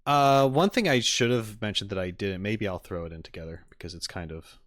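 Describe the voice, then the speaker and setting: A man hosting a livestream, chatting casually and playfully with viewers, rambling and often swinging up into high-pitched emphasis. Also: a little fast, a bit bright, dry recording, clean studio sound. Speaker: streamer and reaction video host.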